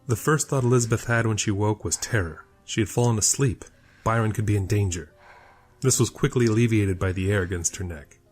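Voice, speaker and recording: A man's voice: on the low side, fairly quick, breathy edge. A man narrating a scripted audio fiction story. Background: faint music.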